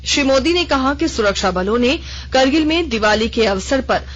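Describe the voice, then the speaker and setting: Woman newsreader on a dull, lo-fi radio broadcast. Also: high-pitched. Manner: measured, formal, even delivery. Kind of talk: read news bulletin.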